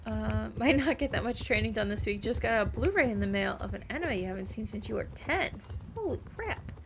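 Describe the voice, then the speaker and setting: A woman's voice: fairly high pitch, expressive, brisk, gravelly, slight background noise. A woman livestreaming a game, chatting and rambling casually and reading things aloud as she plays. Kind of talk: casual stream chat and game commentary.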